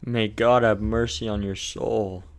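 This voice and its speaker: A man's voice: on the low side, fairly even intonation, a little slow. A man with a lisp narrating a video game challenge run in a casual, crude, jokey way.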